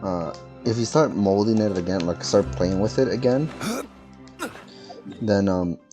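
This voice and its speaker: A man's voice: on the low side, measured pace, over music. A man giving casual, rambling commentary as he streams a game. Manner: rambling, informal.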